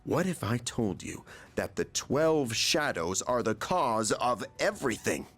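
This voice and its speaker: A man's voice: mid-range voice, sing-song, measured pace, a bit bright, slightly rough, soft background music, heavily acted. A man playing a genial, persuasive middle-aged school chairman in a video game, explaining things eagerly. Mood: reassuring, earnest.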